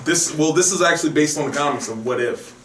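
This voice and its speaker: A man's voice: fairly high pitch, fast talker, a bit bright, recorded from a distance, a little hiss or hum. A man hosting a casual geek news podcast, recorded with a roomy echo a little off the mic. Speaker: podcast host.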